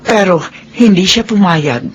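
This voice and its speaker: Actress playing middle-aged Filipina mother, radio drama, animated intonation, controlling, deliberate, imploring, melodramatic. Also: medium pitch, lo-fi sound, a little hiss or hum, close to an everyday voice. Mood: cold, coaxing. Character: controlling, middle-aged wealthy mother, smoothly persuasive, wanting grandchildren.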